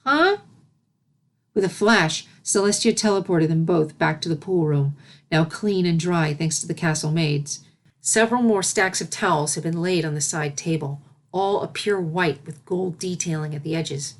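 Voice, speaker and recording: A woman with a slightly low voice reads a scripted story aloud. She works as the narrator, delivering fan fiction prose in a storybook style. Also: moderate pace.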